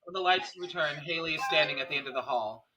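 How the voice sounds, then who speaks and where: A man's voice: a little high, fast talker, background noise. A man reading scene headings and stage directions as narrator at a horror script table read.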